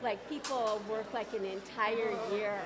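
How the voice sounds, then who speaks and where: A woman's voice: medium pitch, steady tone, a little slow. A woman in casual, chatty conversation in a social hall, talking with enthusiasm. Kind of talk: casual chat. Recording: live hall, loud music and crowd behind. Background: loud music and crowd chatter.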